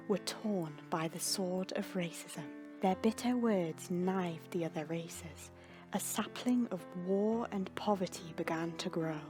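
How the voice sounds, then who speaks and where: Woman narrating a solemn fantasy tale over music. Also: lowish voice. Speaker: narrator reading fan fiction. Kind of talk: scripted storybook narration. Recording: music under the voice. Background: background music.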